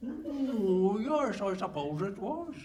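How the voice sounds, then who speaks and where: Man doing a high-voiced, dopey simpleton. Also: sing-song, slow, mellow, exaggerated voice. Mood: earnest, muddled. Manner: dopey, guileless, bumbling. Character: cheerful, dim-witted dopey male simpleton scout. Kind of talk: comic live radio play acting. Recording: noisy live hall stage recording.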